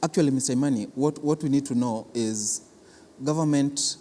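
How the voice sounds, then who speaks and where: A man with a Kenyan accent explaining a programme in an interview as an official, deliberate and repeating words for emphasis. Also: mid-range voice, dark tone, a little hiss or hum. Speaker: cultural centre official being interviewed. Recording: reverberant hall.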